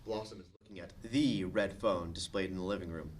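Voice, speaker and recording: A man's voice: sits low, fairly quick, a little hiss or hum. A man reading stage directions as the narrator of a comedic script table read.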